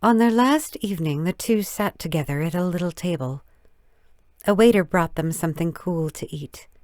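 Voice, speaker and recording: A woman reading a story as scripted narration, in a measured, careful storytelling manner. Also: on the low side, no echo, studio quality. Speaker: audiobook narrator.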